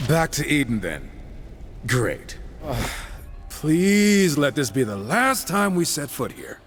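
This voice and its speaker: Brash horseman, sardonic, expressive swings, offhand delivery, game drama. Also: a little high, slow pace, faint music behind, exaggerated voice. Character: wisecracking, cocky male horseman gunslinger, sardonic and brash. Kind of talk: dramatic video game acting.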